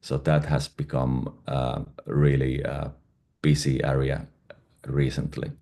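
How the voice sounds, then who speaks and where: A man's voice: extremely low pitch, little pitch movement, slow pace, gravelly, warm tone. A middle-aged man from Finland with a Finnish accent, answering conversationally as an interview guest. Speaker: guest, independent pharmaceutical consultant.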